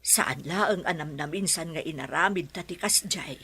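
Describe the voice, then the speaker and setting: A woman playing a forgetful elderly Ilocano grandmother in a radio drama, with a husky, drawn-out voice. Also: sits low, pushed and bright, exaggerated voice. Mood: worried, regretful. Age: older.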